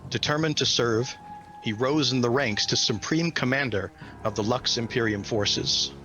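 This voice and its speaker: A man's voice: mid-range voice, moderate pace, bright, forceful tone. Male game master storytelling over music. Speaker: game master, host and game developer. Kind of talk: hosting and storytelling for a tabletop game.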